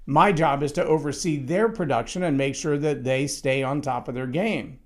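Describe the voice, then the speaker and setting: Loud-voiced man talking about leadership. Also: fairly high pitch, normal speed. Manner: conversational, emphatic, with frequent restarts. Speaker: leadership speaker. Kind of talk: solo talk on leadership.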